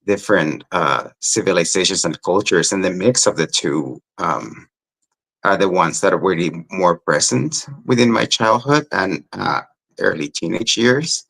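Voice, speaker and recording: A theatre director from Mexico answers questions in a very low, deep voice. He speaks reflectively and pauses on fillers while he gathers his thoughts. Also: creaky, fairly bright tone. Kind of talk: interview answers.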